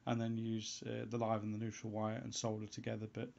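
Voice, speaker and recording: A man giving an unscripted how-to voice-over as a hobbyist presenter, in a halting way, as if thinking aloud. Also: on the low side, completely flat delivery, vocal fry, darkish tone. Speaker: hobbyist presenter of a homebrew antenna tutorial. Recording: dull, lo-fi sound.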